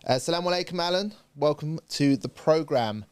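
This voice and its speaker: A man's voice: a little high, lively intonation, brisk, clear, resonant tone, no room sound. A man hosting a casual studio chat in an easygoing, joking way with many fillers. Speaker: host.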